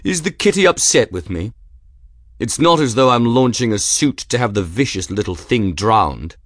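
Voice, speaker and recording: A man's voice: lowish voice, very animated, moderate pace, no room sound, clean studio sound. A man voicing an arrogant, mocking young male aristocrat with a lofty, sneering manner in an audiobook. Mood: merry malice. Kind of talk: audiobook character voice.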